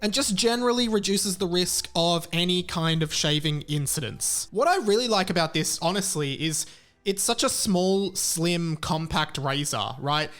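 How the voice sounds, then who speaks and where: High-pitched man, chatty and frank. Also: moderate pace, fairly bright tone. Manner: informal, frank, emphatic repetition. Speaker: podcast host. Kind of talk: casual podcast talk and chatty ad read.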